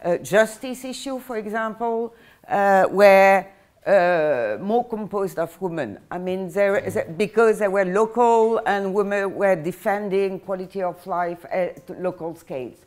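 An older woman with a French accent lectures in a classroom, her voice caught from a distance. She talks in a halting way, filling pauses and restarting her sentences. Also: medium pitch, a little mellow. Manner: hesitant, many fillers and restarts. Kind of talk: university lecture. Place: classroom with projector screen.

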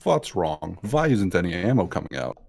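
A woman's voice: a bass voice, very animated, moderate pace, creaky, darkish tone, breathy edge. A woman streaming herself playing a video game, chatting casually, swearing, laughing, and reading on-screen text aloud from her home setup on a headset mic. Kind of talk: casual let's-play commentary.

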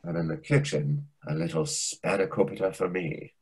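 A man's voice: low-pitched, moderate pace, gravelly, breathy edge, exaggerated voice. A man acting in a comic readers theatre play as a defensive, indignant restaurant waiter, over a video call. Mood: cheeky, defensive. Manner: indignant, animated. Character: defensive, excitable restaurant waiter, longing for respect. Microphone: computer microphone on video call.

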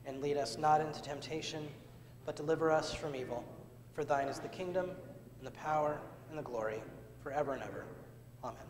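A man serving as pastor, leading the communion liturgy in a measured, solemn way, recorded from a far camera in a church sanctuary. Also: fairly high pitch. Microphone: far camera at back of church. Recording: church livestream with long reverb.

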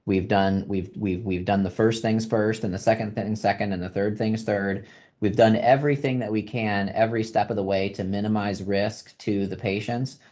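A man's voice: fairly low pitch, fairly even intonation, normal speed, a little mellow. A man answering as a physician panelist on a video call, explaining step by step and repeating phrases for emphasis. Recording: dull video-call audio.

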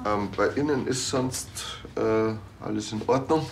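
A small-time crook in a TV drama, with a very deep voice. He is wary and wheedling, choosing polite words with dry hesitation. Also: measured pace, creaky, noisy background.

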